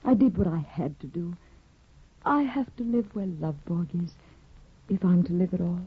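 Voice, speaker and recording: A woman's voice: on the low side, normal speed, slightly rough. A woman playing a timid, devoted young woman in a 1950s radio drama, earnest and anxious. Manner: earnest and anxious, soft-spoken. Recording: tinny old radio broadcast.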